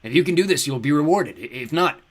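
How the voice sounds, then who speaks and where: A man voicing a fiery, menacing demon lord with a booming, grandiose delivery for a story. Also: expressive, fast. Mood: commanding. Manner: grandiose, imperious.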